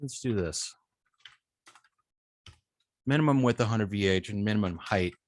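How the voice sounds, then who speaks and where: A man giving a live coding tutorial as an instructor, explaining step by step with halting phrasing, frequent ums and self-corrections. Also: lowish voice, dry recording. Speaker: web development instructor.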